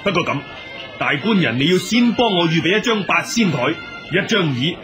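Boastful monk exorcist, declamatory, animated, radio drama, music behind. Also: male voice, high voice, normal speed. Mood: confident, boastful. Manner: declamatory, self-assured. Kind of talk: Cantonese radio drama acting.

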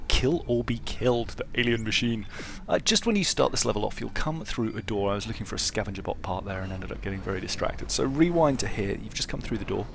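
Chatty man with a gravelly voice. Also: mid-range voice, a little hiss or hum. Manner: chatty, offhand, self-deprecating asides. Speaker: game walkthrough narrator. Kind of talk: casual walkthrough commentary.

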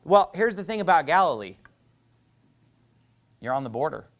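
Pastor, thirties, man, slightly high voice, animated conversational sermon on a lapel mic. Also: normal speed. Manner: animated, gesturing, conversational preaching with asides. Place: small church stage with painted backdrop, indoors.

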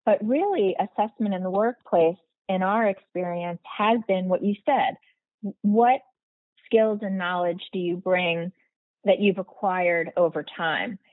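A woman's voice: fairly low pitch, a little slow, no echo. A woman hosting a podcast interview, framing her questions fluently and at length. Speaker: podcast host. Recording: tinny phone-like line, dry.

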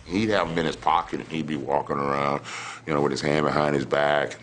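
Extremely deep American man in interview. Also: fairly even intonation, a little fast, a bit bright, slight background noise. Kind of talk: interview reminiscence.

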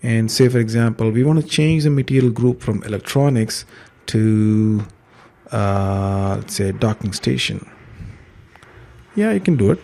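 A man giving an informal step-by-step software tutorial in a conversational, explanatory way, with a gravelly, husky voice. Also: lowish voice, lively intonation, a little slow. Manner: explanatory, conversational, uses examples. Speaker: software trainer, instructor.

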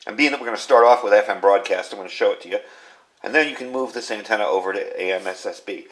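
A man's voice: lowish voice, fast, low-quality recording. A middle-aged man with an American accent, giving an informal step-by-step product demonstration from behind the camera at a workbench. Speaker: radio gear reviewer, video host. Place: indoors at a workbench in a home workshop.

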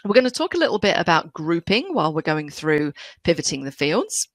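Woman, London accent, lowish voice, animated pitch, dry close sound, friendly explainer. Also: brisk, no room sound. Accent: London English. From England. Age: middle-aged. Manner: chatty, explanatory, animated delivery. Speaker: IT trainer. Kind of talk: webinar software tutorial.

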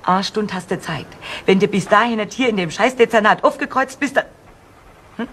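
A woman's voice: mid-range voice, moderate pace, a bit bright, lightly acted. Brash, fed-up streetwalker played by a woman. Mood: angry, frantic. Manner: blunt, brusque, slangy. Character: brash, foul-mouthed, fed-up female prostitute under suspicion. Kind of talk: 1970s German crime radio drama acting. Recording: noisy vintage radio drama recording.

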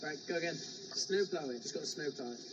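A young man chatters excitedly and encourages his friends as he vlogs on an indoor ski slope. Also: fairly high pitch, brisk, recorded from a distance. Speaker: vlogger. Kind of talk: excited vlog chatter while skiing. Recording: loud noise on a handheld vlog camera.

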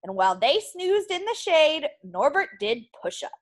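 A woman teacher reading a picture book aloud to young children in an animated, expressive way. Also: sits high, measured pace.

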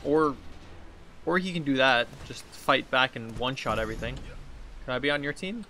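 A man's voice: mid-range voice, animated, normal speed, sharp tone. A man in his thirties giving casual, rambling game commentary, talking to himself and reading quest text aloud. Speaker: gaming video host. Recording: clear background noise, game ambience.

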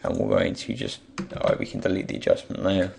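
A man with a croaky, just-woken voice gives an unscripted software tutorial, hesitantly thinking aloud as he goes. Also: sits low, very animated, brisk. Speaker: tutorial presenter. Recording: faint background noise, keyboard and mouse clicks.

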